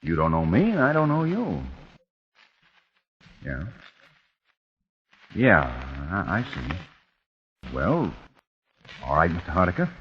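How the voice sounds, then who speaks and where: A suspicious husband, low-voiced but with lots of lift and fall, dry and wry. The old radio sound is dull, with a light hiss. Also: male voice, huge pitch swings, slow pace, long pauses, exaggerated voice. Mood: polite. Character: wary, skeptical married man. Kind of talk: 1940s radio acting.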